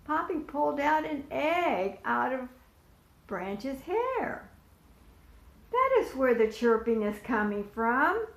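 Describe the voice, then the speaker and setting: Slow, lively woman reading aloud. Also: high-pitched. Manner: asks young listeners questions and points to pictures. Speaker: volunteer storyteller. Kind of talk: picture-book read-aloud for preschoolers. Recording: echoey room.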